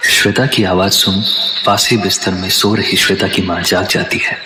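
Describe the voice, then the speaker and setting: A man's voice: low voice, flat delivery, moderate pace, background noise. A man narrating a scripted dramatic story. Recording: echoing voice over loud music.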